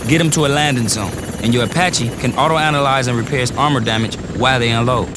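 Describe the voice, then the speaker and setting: Male actor as a wisecracking briefing officer, mid pitch, loud noisy game audio. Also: quick pace, close to an everyday voice. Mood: upbeat. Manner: slangy, cocky briefing patter.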